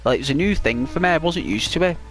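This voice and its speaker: A man's voice: fairly high pitch, lively intonation, quick pace, faint music behind. A man with a British accent chatting casually while playing a video game, rambling with lots of "like" and restarts. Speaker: gaming channel host.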